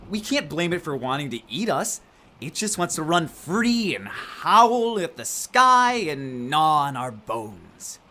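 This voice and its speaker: Anxious, slow-talking, sensitive crash survivor. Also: male voice, fairly high pitch, sing-song, pushed and bright, a little hiss or hum, exaggerated voice. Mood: earnest, sympathetic. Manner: rambling, plaintive, hesitant. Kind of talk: audio drama acting.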